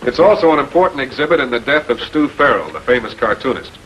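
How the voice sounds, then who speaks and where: Male actor as public prosecutor, 1940s crime drama. Measured, authoritative, quietly persistent. Faint film noise. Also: mid-range voice, expressive, close to an everyday voice. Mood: explaining. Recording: noisy old 1940s film soundtrack.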